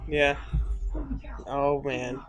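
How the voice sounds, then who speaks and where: A man's voice: mid-range voice, flat delivery, drawn-out words, fairly bright tone, low-quality recording. A man co-hosting casual film commentary, chiming in with short reactions. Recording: music playing behind the voice.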